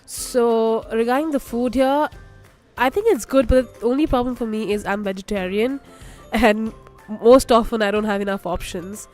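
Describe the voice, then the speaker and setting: A woman's voice: fairly high pitch, fairly even intonation, normal speed, darkish tone, faint music behind. A young woman from India, a student talking candidly about what she eats. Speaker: interviewee, student.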